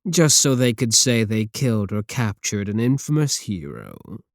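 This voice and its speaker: A man's voice: lowish voice, very animated, unhurried, creaky, no echo, clean studio sound, exaggerated voice. A man playing a sneering villain scientist. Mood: mocking. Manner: taunting, sarcastic, condescending. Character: mocking male villain scientist, arrogant. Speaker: voice actor in audio roleplay. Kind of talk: ASMR roleplay audio.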